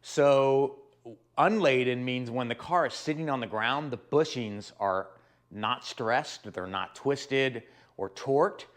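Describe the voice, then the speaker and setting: A man hosting his own hands-on car repair show, talking in a loose, rambling way as he walks through each step. A sore throat has left his voice hoarse and a bit deeper than usual. He stays conversational and explains things plainly, pitched in an ordinary middle range. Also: takes it slowly. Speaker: host of a car repair channel. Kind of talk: casual DIY car tutorial.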